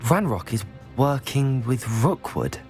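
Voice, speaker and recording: A woman's voice: extremely low pitch, very animated, takes it slowly, darkish tone, faint music behind, full character voice. A woman playing a modest, polite young student hero in a video game, with an understated manner. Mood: calm. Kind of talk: video game cutscene acting.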